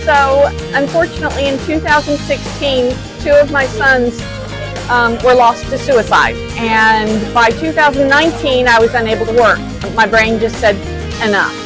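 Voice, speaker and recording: Woman, from the Czech Republic, earnest guest, high voice, music under the talk. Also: moderate pace, rough recording. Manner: open, earnest. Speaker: guest, travel adventurer and speaker. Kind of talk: interview answers.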